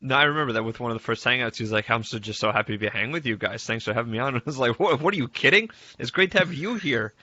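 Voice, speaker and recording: A man's voice: medium pitch, expressive, racing, hardly any pauses, bright, forceful tone, no room sound. A man co-hosting a casual chat, telling stories about a friend in a joking, sometimes profane way with frequent laughs. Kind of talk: casual animated storytelling among friends. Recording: dull lo-fi audio, faint music.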